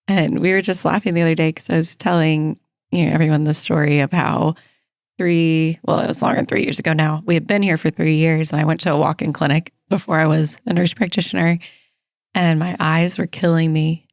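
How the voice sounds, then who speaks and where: A woman nurse practitioner hosting a casual health podcast, a little hoarse as she loses her voice, chatty and laughing often. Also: sits low, flat delivery, a little fast, darkish tone, dry recording, clean studio sound. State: losing her voice.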